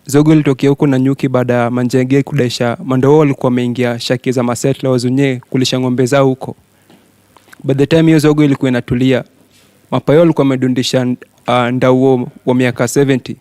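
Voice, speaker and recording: A man's voice: mid-range voice, level tone, fast, warm tone, no echo. A man with a Kenyan accent reading a written narrative aloud. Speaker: writer reading his piece. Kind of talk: scripted narration read aloud in Sheng.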